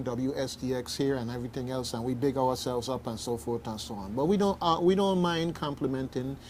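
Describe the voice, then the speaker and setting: A man hosting casual radio talk, halting and rambling, often repeating his phrases. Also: medium pitch. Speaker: radio talk show host. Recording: faint background noise.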